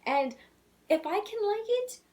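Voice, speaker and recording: A young woman with a childish-sounding voice, talking candidly and rambling in a casual video letter to her twin, laughing softly at herself. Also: very high voice, very animated, a little slow. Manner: candid, rambling, self-deprecating with frequent soft laughs. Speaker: vlogger.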